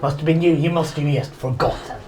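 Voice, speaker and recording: A player performs a stubborn old patriarch in a tabletop radio drama. He is haughty, indignant and petulant. The recording has clear noise and clicks behind the voice. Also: medium pitch, fairly quick, darkish tone, natural voice.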